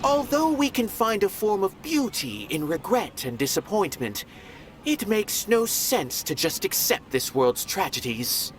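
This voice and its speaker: A man's voice: high-pitched, sing-song, measured pace, slight background noise. A man playing a stern, grandiose theatre veteran in a video game, with music behind him. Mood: impassioned. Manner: declamatory, grandiose.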